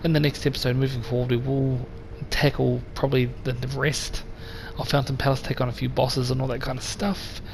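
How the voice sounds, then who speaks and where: Brief: male gaming host, gravelly and a little croaky, relaxed chatty commentary, game noise underneath. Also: medium pitch, fairly quick, few pauses, low-quality recording. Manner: relaxed and chatty, talks straight to viewers. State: slightly croaky voice. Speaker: let's play host. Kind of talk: casual gameplay commentary. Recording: voice over game sound noise.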